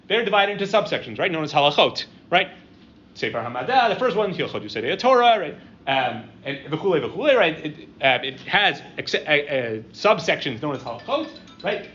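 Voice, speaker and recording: A middle-aged man lecturing to a live audience, conversational and halting, with lots of "um" and "right?" checks. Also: a little high, sharp tone. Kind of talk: university-style lecture with audience questions. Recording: dull lo-fi room recording with faint ambience.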